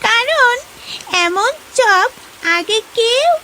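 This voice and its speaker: A woman voicing a small, gentle, kindly cartoon fish that speaks in a coaxing way. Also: extremely high pitch, animated, extremely slow, a little hiss or hum, heavily acted. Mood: gently advising. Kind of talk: cartoon voice acting.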